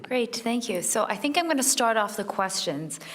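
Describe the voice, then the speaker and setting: Brisk woman moderator. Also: fairly high pitch, expressive, a bit bright, slight background noise. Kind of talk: moderating a panel.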